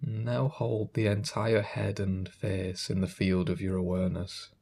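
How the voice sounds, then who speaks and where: A man leading a guided meditation as a calm, gentle guide, giving unhurried instructions. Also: fairly low pitch, gravelly, slight background noise.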